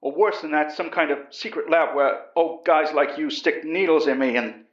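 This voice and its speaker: A man's voice: fairly high pitch, moderate pace, close to an everyday voice. A frightened American army major on the run , played by a male narrator, trembles with dread of the beast inside him. It is audio drama, recorded in a roomy space with a slightly dull sound. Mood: wary, defeated. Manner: trembling, fearful.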